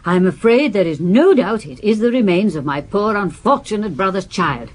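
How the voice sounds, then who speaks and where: An actress playing a prim, self-important older provincial aunt, emphatic and gossipy, in a radio drama. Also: female voice, mid-range voice, expressive, moderate pace, close to an everyday voice. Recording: noisy old radio drama.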